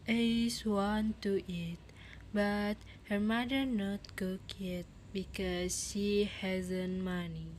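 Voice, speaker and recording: A woman's voice: medium pitch, fairly even intonation, takes it slowly, darkish tone, slight background noise, low-quality recording. A woman reading scripted narration for a story.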